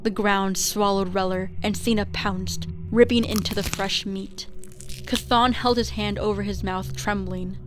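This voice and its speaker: A woman's voice: mid-range voice, fairly even intonation, measured pace, noisy background. A woman reading scripted story narration as the narrator of a radio drama.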